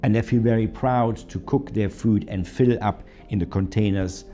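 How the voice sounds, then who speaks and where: A man's voice: fairly low pitch, normal speed, dark tone, soft background music. A man with a German accent, a hotel managing director, telling his story in an interview with hesitant fillers. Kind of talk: documentary interview.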